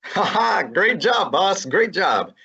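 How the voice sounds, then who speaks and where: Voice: mid-range voice, big pitch swings, slow, vocal fry, pushed and bright, exaggerated voice. An actor playing a breezy, joking young male assistant to a detective in a student radio drama. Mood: wisecracking. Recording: lo-fi recording.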